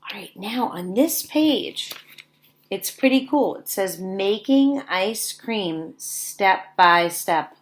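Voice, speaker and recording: Slow-paced woman teacher reading aloud. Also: medium pitch, lively intonation. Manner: deliberate, simple teacherly phrasing for young children. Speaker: teacher reading a book aloud to young children. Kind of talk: read-aloud lesson with explanatory asides.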